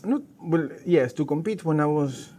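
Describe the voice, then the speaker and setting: A middle-aged man with an Argentine accent, a native Spanish speaker, chatting casually and haltingly as a tango dancer being interviewed. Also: a little high, animated, dark tone. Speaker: professional tango dancer and teacher, interview guest. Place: outdoors in a garden. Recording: noisy outdoor ambience.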